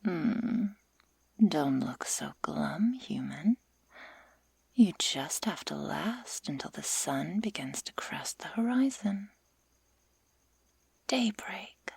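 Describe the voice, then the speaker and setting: A woman voicing a sadistic, taunting werewolf girl in a breathy whisper for an ASMR roleplay, speaking slowly and deliberately with mock-sweet menace. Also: sits low, expressive, darkish tone, dry recording, exaggerated voice. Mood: teasing menace.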